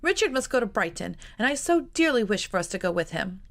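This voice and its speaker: A woman voicing an eager, sly sixteen-year-old girl who coaxes and pleads with her family in a raised, lively voice. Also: medium pitch, quick pace, pitched above the performer's own voice. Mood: pleading. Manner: coaxing, sweetly insistent.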